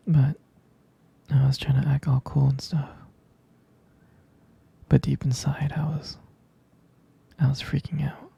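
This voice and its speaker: A man playing a tender, jealous, devoted boyfriend in an intimate ASMR roleplay, speaking haltingly in a breathy, husky whisper. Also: mid-range voice, level tone, warm tone, dull audio, full character voice. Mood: tender, nostalgic. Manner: hesitant, intimate, halting delivery.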